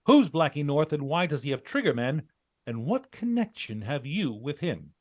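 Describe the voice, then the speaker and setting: Casting: male actor as brisk American team leader, insistent and no-nonsense, animated intonation, dry studio sound, vintage radio drama. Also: on the high side, no room sound. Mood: demanding. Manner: clipped, insistent questioning.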